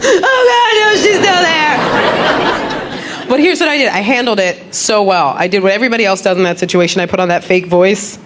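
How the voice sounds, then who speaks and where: A woman doing animated stand-up comedy at a club, often slipping into mimicked voices and laughing along. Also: fairly quick. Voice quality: deep voice. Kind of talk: stand-up crowdwork set. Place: comedy club stage with live audience. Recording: loud crowd laughter, club noise.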